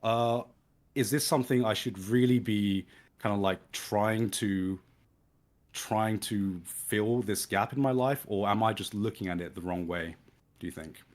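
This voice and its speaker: A young man with a British accent and a somewhat low voice, speaking slowly and carefully as a guest. He hesitates often, restarting his sentences as he searches for the right words. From England. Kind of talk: casual conversation.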